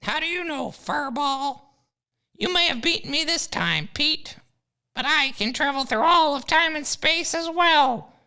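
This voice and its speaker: A scheming eagle professor, voiced by a woman, who taunts his foes with a high, gravelly sneer. The voice is bright and sharp. It fits an amateur comedy audio drama. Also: lively intonation, a little slow, exaggerated voice. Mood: gloating. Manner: gloating, taunting. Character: scheming male eagle professor villain, arrogant.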